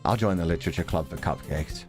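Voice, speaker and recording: A man's voice: low voice, fast talker, darkish tone, soft background music. A man giving casual, slangy, joking live commentary while streaming a game.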